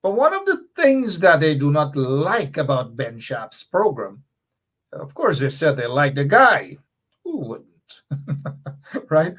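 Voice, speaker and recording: A Guyanese-accented man in middle age runs a political talk show, speaking in a high register for a man. His pitch swings widely as he hammers home his points and says them again for emphasis. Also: takes it slowly, pauses often, husky. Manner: animated, emphatic, repeats key points. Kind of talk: political commentary monologue.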